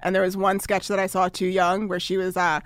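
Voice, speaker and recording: A woman in her thirties chats with coworkers, confident and wry. Her voice sits at a middle pitch, recorded dry and close. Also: completely flat delivery, fairly quick, dry recording. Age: 30s. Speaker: executive producer. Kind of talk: casual chat.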